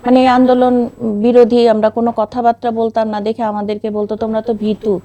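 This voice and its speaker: Mellow, higher-voiced Bangladeshi woman telling her story. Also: flat delivery, a little fast, highs cut off. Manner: quotes remembered dialogue. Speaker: interview guest, bereaved mother. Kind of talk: conversational personal storytelling.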